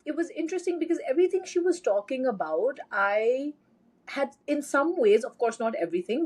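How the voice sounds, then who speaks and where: A young woman from India co-hosting a podcast, telling stories in an animated, chatty way. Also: a little high. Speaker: podcast co-host. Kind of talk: casual chat.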